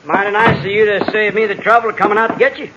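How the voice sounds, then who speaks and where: A man acting an irritable, blustering small-town sheriff with a gruff, blunt rural western American way of talking in an old radio western. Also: high voice, steady tone, rapid-fire, highs cut off, close to an everyday voice. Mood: stern, exasperated. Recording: hissy old radio recording.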